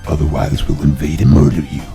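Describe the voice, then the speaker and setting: A man voicing a bossy, threatening goblin lord in a comic dub, slow, loud and commanding. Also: a bass voice, very animated, vocal fry, soft background music, heavily acted. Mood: smug menace. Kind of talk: comic dub character acting.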